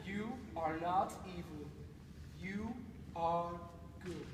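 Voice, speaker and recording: A manic, grandiose mad scientist in a comic horror melodrama. His voice sits very high for a man. It comes from off the mic in an echoing hall, with loud noise around it. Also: expressive, moderate pace. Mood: nervous, soothing coaxing. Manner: melodramatic, swinging from hushed coaxing to shouting. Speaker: actor. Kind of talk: theatrical parody of old horror melodrama. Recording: echoey hall, distant, loud background noise.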